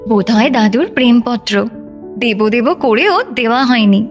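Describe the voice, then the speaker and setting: Cheeky, animated college-age granddaughter. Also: female voice, fairly high pitch, unhurried, soft background music. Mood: playful teasing. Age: young adult. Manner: teasing, inquisitive.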